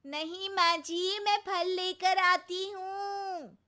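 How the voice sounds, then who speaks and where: A patient young daughter-in-law in a cartoon. Her voice sits high and bright, polite yet exasperated, with a slow measured pace. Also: flat delivery, full character voice. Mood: pleading. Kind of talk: cartoon voice acting.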